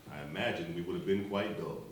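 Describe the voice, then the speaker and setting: An actor playing a grave, measured Baptist minister in a stage play, speaking slowly and reflectively. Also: male voice, sits low, close to an everyday voice. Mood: calm, reflective. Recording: echoey, distant stage recording, faint hiss.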